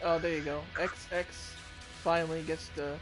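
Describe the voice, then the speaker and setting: A man hosting a casual gaming stream, talking in a fairly high voice. He is chatty and quick to laugh. Game music plays clearly in the background. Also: level tone, low-quality recording. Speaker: streamer host.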